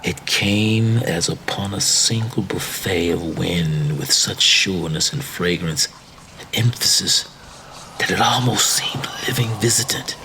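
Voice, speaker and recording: Low-voiced man, theatrical storyteller. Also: measured pace, creaky. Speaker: story reader. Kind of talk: dramatic literary narration of a macabre tale. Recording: faint background noise and ambience.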